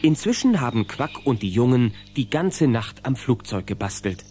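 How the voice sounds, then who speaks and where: A man's voice: medium pitch, big pitch swings, normal speed, a little mellow, husky. A man playing the narrator of a children's cartoon adventure, reading scripted story narration. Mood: lively storytelling.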